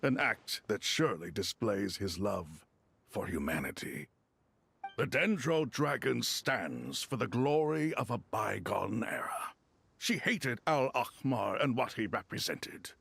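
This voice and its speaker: A man playing a solemn, learned desert god in a video game, speaking formally in a gravelly, measured way. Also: lowish voice, lively intonation, fairly bright tone, no echo, exaggerated voice. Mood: solemn, reflective. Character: solemn, learned male desert god loyal to his king.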